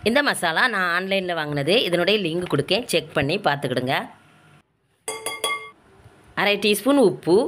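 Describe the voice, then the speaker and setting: A woman's voice: lowish voice, animated, normal speed, long pauses, a bit bright, slight background noise. A woman with a southern Tamil Nadu accent, narrating recipe steps as a home cook in a plain, colloquial, instructional way. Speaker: home cook and cooking show host.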